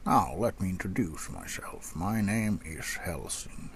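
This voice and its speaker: A man playing a gruff, seasoned old vampire hunter in a softspoken ASMR roleplay, half-whispering in a folksy, rambling way to a rookie. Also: sing-song, a little hiss or hum, full character voice. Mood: weary, welcoming. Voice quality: low, gravelly, breathy half-whisper. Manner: unhurried, folksy, rambling with musing pauses.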